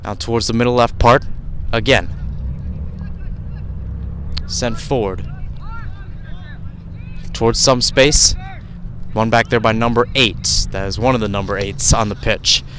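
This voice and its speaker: A man's voice: on the high side, a bit bright, slight background noise. A man doing live radio play-by-play of a soccer match outdoors, describing the action in short, clipped phrases. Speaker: play-by-play sports commentator.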